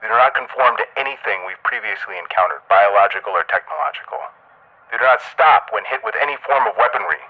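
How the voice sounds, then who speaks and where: Grave deep-voiced government briefer, acted by a man. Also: lively intonation, narrow, tinny audio, close to an everyday voice. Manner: formal, clinical, deliberate. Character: grave, measured male official briefing the president. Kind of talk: audio drama briefing monologue.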